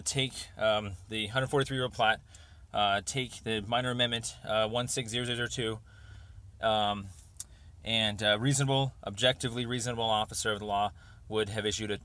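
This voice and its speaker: A man leaving an informal, rambling voicemail over the phone, speaking haltingly as he pieces his thoughts together. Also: on the low side, level tone, sharp tone, no room sound. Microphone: telephone.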